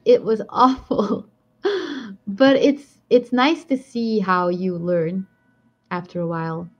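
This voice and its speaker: A woman with a strong Belgian accent chatting casually on a live stream, rambling and laughing often as she answers viewer comments. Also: medium pitch. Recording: dull lo-fi stream audio.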